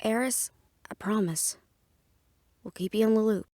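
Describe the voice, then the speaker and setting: A woman's voice: mid-range voice, measured pace, warm tone, no echo, studio quality, natural voice. A woman playing a sarcastic, defiant young half-fae daughter in an audio drama, with a snappy manner. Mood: reassuring. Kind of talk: dramatic audio roleplay acting.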